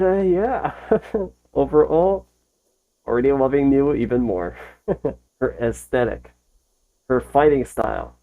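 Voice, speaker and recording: An excitable host giving casual, gushing fan commentary in a reaction video. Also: medium pitch, creaky, rough recording.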